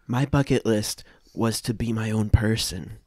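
A man's voice: on the low side, fairly even intonation, unhurried, darkish tone, no echo. A man playing an earnest teenage girl who sounds sincere and a little hesitant, in improvised comedy. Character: earnest teenage girl wanting to find herself.

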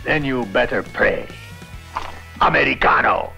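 An actor as a very gravelly, menacing male villain. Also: medium pitch, expressive, measured pace, pushed and bright, soft background music, exaggerated voice. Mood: taunting menace.

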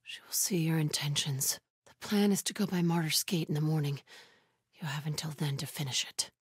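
A devout, watchful female cult scout from a video game. She speaks low and very breathy, often near a whisper, with stern, guarded solemnity. The sound is close and dry. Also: normal speed, no echo. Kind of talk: hushed video game stealth dialogue.

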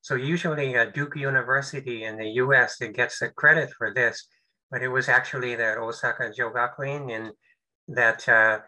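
An older man presents over a video call. His voice sounds very bright and forward, and he talks in halting phrases full of fillers and restarts. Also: mid-range voice, fairly even intonation, poor audio. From United States. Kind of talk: webinar presentation.

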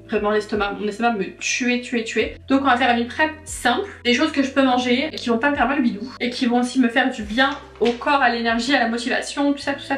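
A woman's voice: fairly high pitch, distant mic. Young woman vlogger, chatty and loose, recovering from illness; roomy kitchen echo. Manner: chatty, rambling, many fillers and restarts. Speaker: cooking vlogger. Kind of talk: casual chat while cooking. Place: home kitchen, rain outside. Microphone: far camera. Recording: echoey kitchen, voice off-mic.

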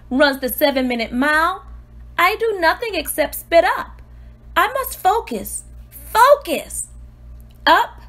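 A woman voicing a precocious, self-important newborn baby diarist, earnest and exclamatory, in an expressive storybook read-aloud. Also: sits high, exaggerated voice. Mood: determined.

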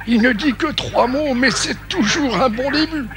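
A man's voice: extremely high pitch, moderate pace. A man voicing a dotty, polite old explorer professor in a French cartoon dub, fussy and stammering. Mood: delighted. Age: older.

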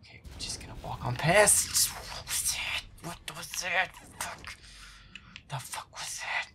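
A man playing a feisty, foul-mouthed boyfriend who mutters exasperatedly while playing a scary video game, often in a breathy whisper. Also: fairly high pitch, animated, measured pace. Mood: nervous, irritated. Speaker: audio roleplay performer. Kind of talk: casual gaming commentary in boyfriend audio roleplay.

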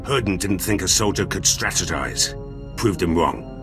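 An actor playing a stubborn, confident demolitions soldier in a video game, talking in curt, blunt phrases. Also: male voice, sits low, lively intonation, moderate pace, over music. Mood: defiant, dry. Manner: curt, gruff-mannered, blunt.

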